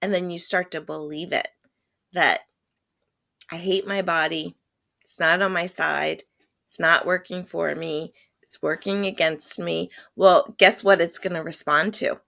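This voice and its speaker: A woman's voice: on the low side, steady tone, measured pace, gravelly, a bit bright. A woman speaking as a holistic healer guest in an interview, emphatic and repeating her key phrases. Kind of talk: conversational interview.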